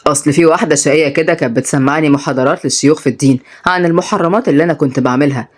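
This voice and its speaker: A woman with an Egyptian accent voicing a hot-tempered young man who has turned his life around, gruff but affectionate. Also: sits low, very fast, voice pushed down. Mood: tender. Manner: gruff, threatening, then tender.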